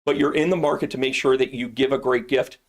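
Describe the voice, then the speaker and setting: A middle-aged man chats through a live product demo from his shop. He rambles and cracks jokes between sales pitches, at an ordinary middle pitch. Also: fairly even intonation, fast. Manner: joking, rambling, salesy asides. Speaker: shop owner and live-stream host.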